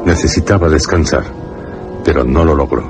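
A man's voice: extremely low pitch, normal speed, over loud music. A man narrating a suspense radio drama solemnly, phrase by phrase.

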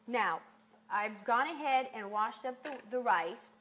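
A woman's voice: a little high, expressive, unhurried, fairly bright tone, poor audio. A young woman hosting a cooking show from a home kitchen, explaining steps in a chatty, instructive way. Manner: chatty, instructive, says okay often. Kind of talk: cooking demonstration.

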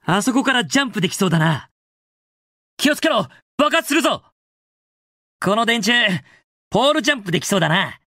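A man's voice: sits high, a bit bright, no echo, clean studio sound. A man voicing a cocky, upbeat, heroic young hedgehog in a Japanese video game, with a confident, casual, brash delivery. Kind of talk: Japanese video game voice acting, short clips.

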